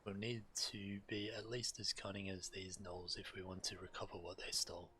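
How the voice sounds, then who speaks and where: A man's voice: low voice, steady tone, normal speed, pushed and bright, slight background noise. A man streaming a video game, reading quest text aloud and commenting casually.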